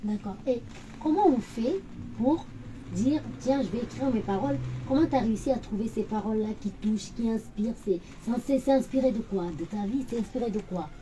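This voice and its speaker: Female interview host with a mellow, warm tone and short encouraging prompts, over faint background noise. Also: on the high side, a little fast, low-quality recording. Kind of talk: interview hosting.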